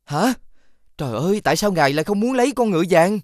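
A man voicing a clever, helpful talking fox in an animated fairy tale, coaxing and explaining. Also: high voice, quick pace, no echo, clean studio sound. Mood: scheming, reassuring.

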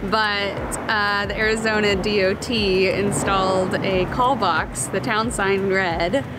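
A woman's voice: medium pitch, steady tone, slow pace, very noisy. A woman in her thirties chatting casually as a travel vlogger, recorded on a camera mic. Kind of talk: casual chat. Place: outdoors at roadside stops and inside a camper.

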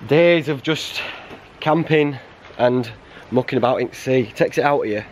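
A man's voice: medium pitch, normal speed, slight background noise. Tired Northern English man on a beach. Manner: chatty, laid-back and self-deprecating. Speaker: vlogger behind the camera. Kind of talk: casual aside to the viewers. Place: rocky beach outdoors. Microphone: handheld camera at arm's length.

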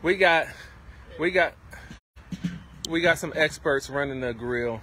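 A middle-aged man hosting a casual livestream as a farm stand vendor, with an enthusiastic, repetitive sales pitch. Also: slow pace. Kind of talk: casual promotional livestream chat. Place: outdoors at a tented farm stand by a street. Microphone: handheld phone, around arm's length. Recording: noisy outdoor street-side phone recording.

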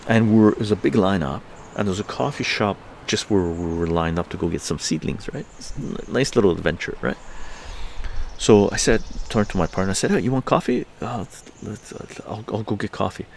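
An older man from Canada, livestreaming from his outdoor patio, telling a story in an animated, laughing way with crude language. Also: brisk, creaky, slight background noise. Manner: animated, laughing, swearing, acts out quotes in higher voices. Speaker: livestream host. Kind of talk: casual storytelling to a live chat.

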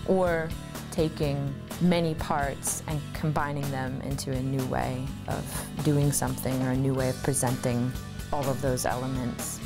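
Wanita bersuara rendah, santai dan penuh jeda, musik gitar di latar. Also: female voice, deep voice. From United States. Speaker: artist. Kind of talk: interview, talking about her work. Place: cluttered home studio indoors. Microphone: lapel mic.

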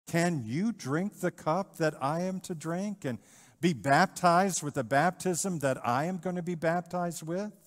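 A middle-aged male pastor preaching a sermon in a church, animated, with rhetorical questions and repetition. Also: sits high. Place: church sanctuary, in front of the altar. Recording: church livestream with long reverb.

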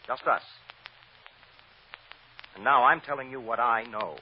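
An actor playing a stern, righteous defense lawyer, deliberate and insistent, in an old-time radio drama. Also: male voice, mid-range voice, natural voice. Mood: relentless, forceful. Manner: deliberate, insistent, repeats phrases for emphasis. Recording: dull, noisy old radio recording.

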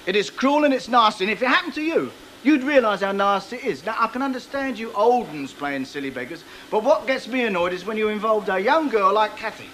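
An actor playing a brusque middle-aged factory boss, blunt and sarcastic. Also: male voice, high voice, animated, a little fast, hardly any pauses, a bit bright, a little hiss or hum, rough recording. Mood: scolding. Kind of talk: 1980s TV soap acting. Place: factory floor TV set.

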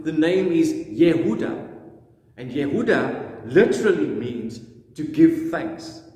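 A middle-aged man preaching a sermon as a pastor, with deliberate, emphatic phrasing and repetition. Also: a little high, darkish tone. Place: indoors in a church, standing before the camera. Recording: very echoey church hall, long reverb.

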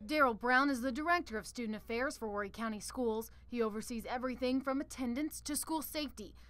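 High-pitched woman reporting the news. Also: fairly quick, a bit bright. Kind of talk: scripted news narration.

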